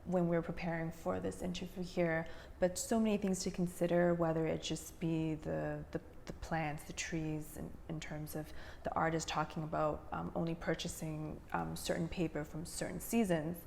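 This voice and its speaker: A woman's voice: deep voice, little pitch movement, moderate pace, vocal fry, hardly any pauses, a little mellow. A woman hosting an interview, asking questions in a conversational style. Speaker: interviewer, host. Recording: reverberant room with faint noise.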